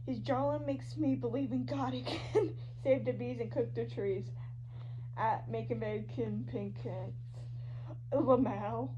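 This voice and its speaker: A woman giving an animated, dramatic, slangy reading of online fan fiction, shifting between characters. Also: on the high side, takes it slowly. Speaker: podfic reader. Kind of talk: expressive fan fiction reading of social media posts. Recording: dull lo-fi audio with loud hum. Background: loud hum and noise.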